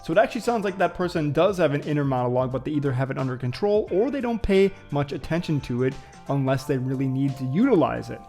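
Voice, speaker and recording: A man's voice: on the high side, darkish tone. A man hosting a casual vlog, musing and thinking aloud to viewers with frequent fillers and hesitations. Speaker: vlogger host. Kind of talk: casual reflective vlog talk.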